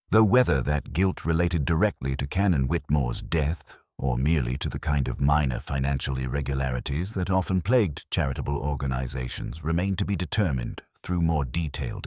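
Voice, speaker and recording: A man reading a scripted mystery story in a formal, measured literary manner, as an audiobook narrator. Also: a bass voice, a little mellow, dry recording, studio quality.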